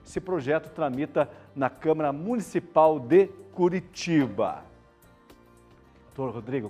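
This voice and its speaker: A man presenting a live variety show in a chatty, colloquial manner. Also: a little high. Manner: chatty, colloquial, checks in with listeners. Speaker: television show host. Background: background music.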